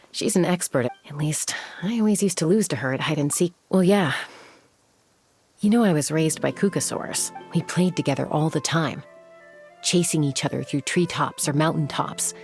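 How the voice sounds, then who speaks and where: Low-voiced, composed young warrior woman. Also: a little mellow, husky. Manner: measured, thoughtful, serious. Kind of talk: video game voice acting.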